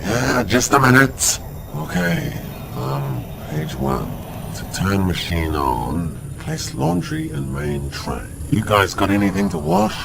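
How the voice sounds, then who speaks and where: Exiled alien man voiced by a man: low, gravelly, slow, theatrical; noisy amateur recording. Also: huge pitch swings, heavily acted. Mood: fumbling. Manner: grand and declamatory, then fumbling. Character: banished male alien intervener, defiant and showy. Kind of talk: amateur radio drama.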